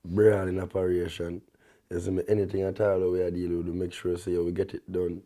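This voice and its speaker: A young man with a Jamaican accent and a deep bass voice, talking in relaxed patois as a guest artist, often tagging his sentences with a check-in. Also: quick pace. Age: young adult. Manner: laid-back, peppers talk with "you see me?".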